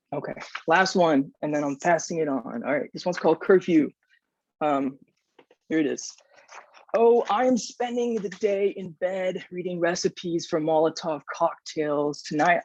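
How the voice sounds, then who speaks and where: A man's voice: on the high side, darkish tone, no room sound, lo-fi sound. A young man reading his poetry aloud, with the sound of a video call. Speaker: poet.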